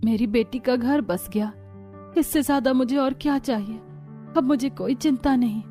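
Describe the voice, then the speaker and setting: Lady doing a middle aged maa in a family story, highish voice, tender n sentimental, music behind. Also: normal speed, dark tone, close to an everyday voice. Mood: contented.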